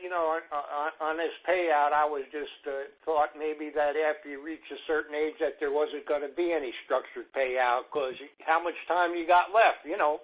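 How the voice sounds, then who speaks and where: A man over sixty-five calling in with a casual question, speaking in a folksy, halting way. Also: on the high side, fairly even intonation. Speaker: caller. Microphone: telephone. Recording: tinny telephone line.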